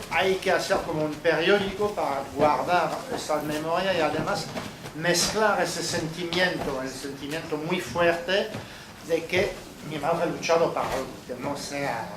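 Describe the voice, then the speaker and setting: French accent guy, director at a q&a, stops and restarts a lot, far off mic, noisy roomy hall. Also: a little high. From France. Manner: halting, restarts phrases, searching for words. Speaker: film director. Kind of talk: post-screening Q&A. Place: cinema hall. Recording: echoey hall from far off with noise.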